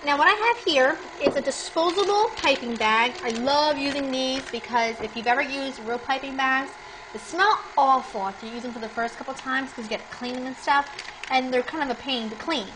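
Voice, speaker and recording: A young woman hosting a cooking demonstration in her home kitchen, chatting brightly and speaking straight to her viewers. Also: high voice, normal speed, noisy background. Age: young adult. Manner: bubbly, chatty, addresses viewers directly. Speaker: cooking show host. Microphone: lapel mic.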